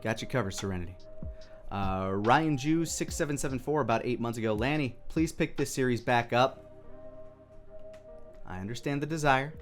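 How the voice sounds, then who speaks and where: A man's voice: medium pitch, normal speed, pauses often, soft background music. A man giving casual, off-the-cuff commentary as a video creator, rambling and thinking aloud as he goes.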